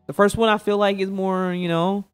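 Young man, high-pitched voice, casual livestream commentary, slangy, bantering, faint music underneath. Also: little pitch movement, moderate pace, clean tone. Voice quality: slangy, informal speech. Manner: playful, bantering with chat. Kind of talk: casual reaction stream commentary. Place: indoor room at a streaming setup. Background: faint background music.

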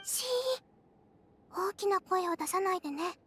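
Casting: kind small girl for a game. Tiny, very high voice, earnest and childlike. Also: expressive, measured pace, a bit bright, slight background noise, heavily acted. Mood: hushed, caring. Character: kind, earnest little girl, innocent and brave. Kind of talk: scripted game voice acting.

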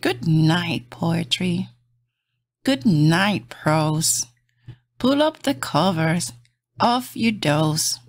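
A woman reading aloud to young children as a storybook host, in a gentle, encouraging, sing-song manner. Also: lowish voice, lively intonation, drawn-out words, no room sound.

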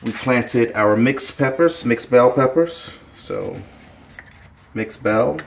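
A middle-aged man hosting a gardening channel, giving a relaxed, chatty how-to demonstration with jokey asides in a home kitchen. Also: medium pitch, slow, creaky, a little hiss or hum.

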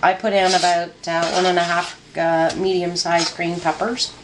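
A woman's voice: fairly low pitch, level tone, normal speed, noisy background. A middle-aged woman walking through a recipe in her home kitchen, chatty and joking, with plenty of ums. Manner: chatty and joking, rambling with fillers. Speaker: home cook demonstrating a recipe. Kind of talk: casual cooking demo. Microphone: handheld camera mic nearby. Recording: home video with kitchen clatter. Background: pans and cutlery clatter.

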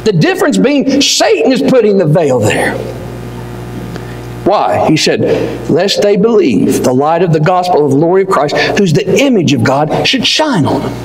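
Impassioned older preacher, high voice. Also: male voice, sing-song, slight background noise. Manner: impassioned, rising to near-shouts, dropping low and slow. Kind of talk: sermon. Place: church auditorium. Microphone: pulpit mic, wide far camera. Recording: echoey hall with long reverb.

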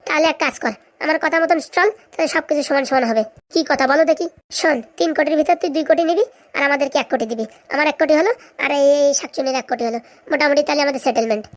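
A woman's voice: sits high, rapid-fire, close to an everyday voice. A woman voicing a greedy, scheming village woman in a cartoon comedy, chatty and bickering in colloquial speech. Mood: greedy plotting.

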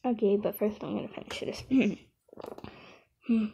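A woman's voice: mid-range voice, gravelly, mellow, husky. A young woman rambling casually and hesitantly as an amateur video creator, often correcting herself. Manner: hesitant, halting, self-correcting. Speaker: amateur comic dub creator.

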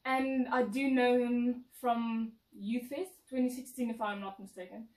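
A woman's voice: on the high side, level tone, a little slow. Late-teen woman, South African accent, playful; set-back mic in echoey room. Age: late teens. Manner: warm, playful, emphatic. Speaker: co-presenter being interviewed. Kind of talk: casual interview chat. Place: indoor lounge set, seated on couch. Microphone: far camera mic. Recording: roomy echo, distant mic.

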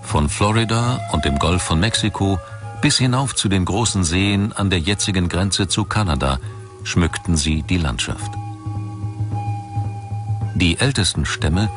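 A man narrating a scripted documentary in a measured, articulate manner. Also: sits low.

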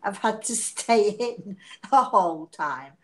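Woman, 60s, hoarse, coughing, chatty, laughing, living room, tablet mic. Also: medium pitch, expressive, slow pace. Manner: chatty, laughing, self-mocking. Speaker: psychic medium hosting a live show. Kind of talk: casual live-stream chat. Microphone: device camera at arm's length.

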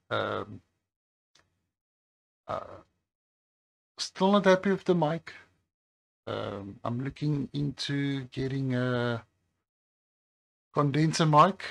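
A man with a low voice talking slowly and casually at his workbench, with many pauses and hesitations. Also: vocal fry. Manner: slow, relaxed, with many hesitations. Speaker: phone repair channel host. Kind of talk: casual talk to camera while working. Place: workbench by a highway.

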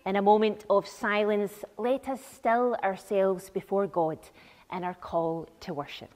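Young female worship leader, unhurried and deliberate, mid-pitched, recorded with long church reverb. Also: fairly even intonation, darkish tone. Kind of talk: leading worship, welcome and prayer. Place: large church interior, at the lectern.

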